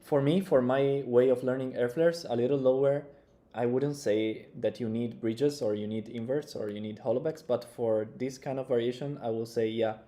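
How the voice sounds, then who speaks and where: A man's voice: medium pitch, steady tone, normal speed, dark tone. A young man, casually reacting to a dance tutorial and thinking aloud in loose grammar from a small room. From Italy. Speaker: breaking coach reacting to tutorials.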